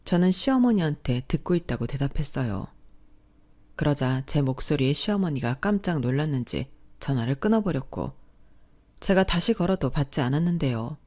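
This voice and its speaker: A woman's voice: sits low, animated, moderate pace, darkish tone. A woman narrating a first-person family drama in an indignant, confiding tone. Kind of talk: scripted first-person storytelling.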